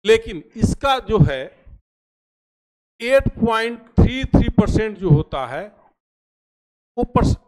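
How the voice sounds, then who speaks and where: A man's voice: high voice, sing-song, slow, long pauses, a little mellow, poor audio. A man giving an informal explanatory lecture, repeating key phrases for emphasis in a didactic way. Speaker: teacher explaining pension schemes.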